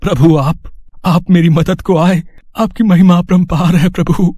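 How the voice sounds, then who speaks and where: A man playing a timid, humble common man in an animated moral story, earnest and plaintive. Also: sits high, measured pace, husky, dry recording, studio quality. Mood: grateful, reverent.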